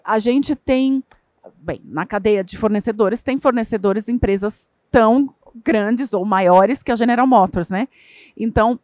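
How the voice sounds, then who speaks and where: A Brazilian woman with a slightly high voice talks through a panel answer in a conversational way, dropping in tag questions and small fillers. She is a corporate diversity lead on a conference stage. The sound is close and dry. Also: darkish tone, no room sound. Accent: Brazilian Portuguese.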